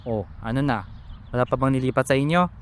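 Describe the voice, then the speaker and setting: A man's voice: mid-range voice, fast talker, lightly acted. A man with a Filipino accent playing a hardworking, impatient eldest brother in a radio drama. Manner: brusque, exasperated. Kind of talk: radio drama acting, casual family talk. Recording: faint background noise.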